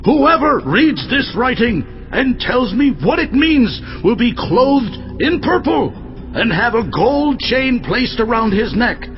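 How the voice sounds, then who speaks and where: An actor playing a frightened pagan king who makes slow proclamations in a dramatized scripture recording. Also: mid-range voice, sing-song. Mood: frightened, urgent. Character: frightened pagan king offering lavish rewards. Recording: muffled, with faint music.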